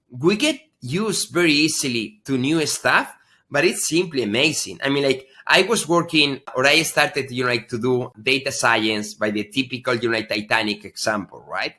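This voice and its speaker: A man with a slight Spanish accent, a guest in an interview, speaking loosely and filling his sentences with "like" and "I mean". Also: mid-range voice. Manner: relaxed, loose phrasing with many "like" and "I mean". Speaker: guest AI expert. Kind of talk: interview conversation.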